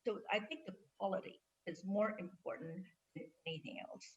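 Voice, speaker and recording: A woman on a career panel, giving measured advice. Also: mid-range voice, fairly even intonation. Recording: dull lo-fi remote audio.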